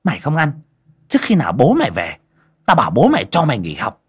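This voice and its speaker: A man's voice: medium pitch, huge pitch swings, fast, breathy edge, pitched above the performer's own voice, full character voice. A man voicing a vicious, bullying stepmother in a story, snarling and scolding coarsely. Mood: menacing.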